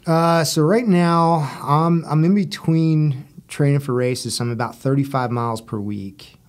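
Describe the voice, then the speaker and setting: A man's voice: a little high, measured pace. A man in his forties speaking as a podcast guest in casual interview chat, easygoing and colloquial, with some military slang. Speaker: podcast guest, Marine officer.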